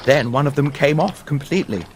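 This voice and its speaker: A man reading a children's story in a measured, deliberate, dramatic way. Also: fairly high pitch, animated, noisy background. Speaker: story narrator. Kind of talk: expressive scripted storybook narration for learners.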